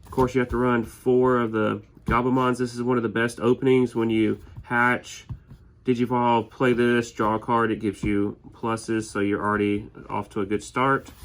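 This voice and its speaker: A man with a slight head cold, sniffling a little as he casually walks through his winning card game deck with plenty of fillers and restarts. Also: mid-range voice. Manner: easygoing, many fillers and restarts. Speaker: tournament winner presenting his deck.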